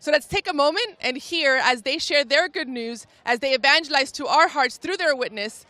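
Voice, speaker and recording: Live TV host, woman, high and bright, enthusiastic presenter. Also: normal speed, a little hiss or hum. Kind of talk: live broadcast hosting.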